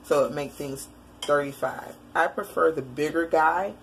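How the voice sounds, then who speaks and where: A woman's voice: low-pitched, measured pace, slight background noise. A woman in her forties chatting casually about her grocery finds, rambling with many fillers, talking to a webcam at home. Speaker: vlogger. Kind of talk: casual grocery haul chat. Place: indoor room at home, shelves behind.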